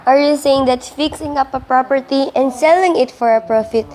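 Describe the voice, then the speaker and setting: A woman's voice: high voice, moderate pace, a little mellow, slight background noise, natural voice. A woman playing a doubting radio interviewer who presses with skeptical questions in a scripted student radio play.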